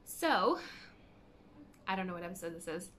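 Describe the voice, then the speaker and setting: A woman in her thirties from Canada hosting a knitting video podcast, chatting casually and meandering, hoarse from a cold. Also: on the low side, very animated, moderate pace, fairly bright tone, slight background noise. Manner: chatty, meandering, self-correcting. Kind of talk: casual chat to camera. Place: living room by a window, indoors.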